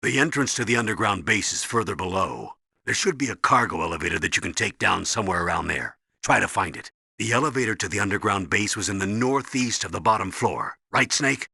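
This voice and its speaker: Stern, hard-edged colonel. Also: male voice, on the low side, lively intonation, a little fast, sharp tone, no echo, studio quality, full character voice. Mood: instructive. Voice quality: husky. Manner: commanding, urgent, instructive. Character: stern, urgent older male colonel giving mission orders. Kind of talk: video game voice acting.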